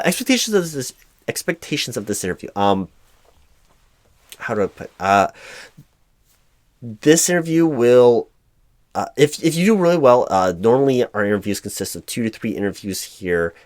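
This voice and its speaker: A man performing a job interview roleplay as a flustered, apologetic hiring manager, speaking formally in a halting monotone. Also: mid-range voice, no room sound. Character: mildly frustrated, apologetic male corporate hiring manager. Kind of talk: one-sided job interview audio roleplay.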